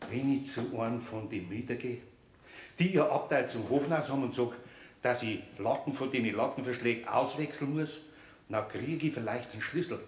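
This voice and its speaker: A man's voice: medium pitch, reverberant, lightly acted. A man playing a good-hearted, flustered older craftsman with a Bavarian accent, hesitant and muttering, in a children's radio play.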